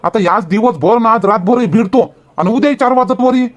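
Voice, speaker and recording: A man's voice: sits high, brisk, natural voice. A man voicing a grumbling village farmer and family man in a cartoon comedy, with a rural Vidarbha Marathi accent. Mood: harried.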